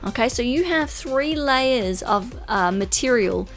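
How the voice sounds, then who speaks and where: A woman's voice: on the high side, expressive, measured pace, soft background music. A woman, talking enthusiastically through a product walkthrough, casual with fillers and asides. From Australia. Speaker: kayak shop presenter. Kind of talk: product walkthrough over slides. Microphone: voice-over.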